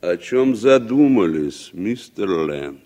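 A stern male official questions formally in a low voice. His intonation moves widely, in the deliberate manner of old radio drama. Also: very animated, mellow. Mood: stern, curt. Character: stern, formal government commission interrogator. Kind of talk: Soviet radio drama acting.

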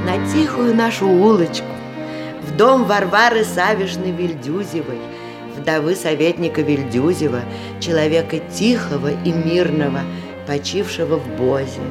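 An actress playing a genteel provincial widow in a radio play, narrating in a chatty, ornate, old-fashioned way. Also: female voice, on the low side. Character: genteel provincial widow hostess of nineteenth-century Russia.

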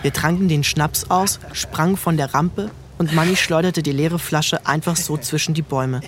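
An actor narrating an audio drama in the first person as a reflective youngest brother. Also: male voice, a little high, steady tone, normal speed, background noise, close to an everyday voice. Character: reflective youngest brother recalling a rough childhood.

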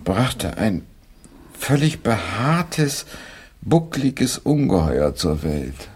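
A middle-aged English playwright in a radio drama, grumbling his way through travels abroad. His deep voice is husky and drags slowly, with a weary, theatrical weight. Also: sing-song, darkish tone, full character voice. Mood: complaining, sleepless. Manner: slow, hesitant, complaining, dramatic. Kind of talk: German radio play acting.

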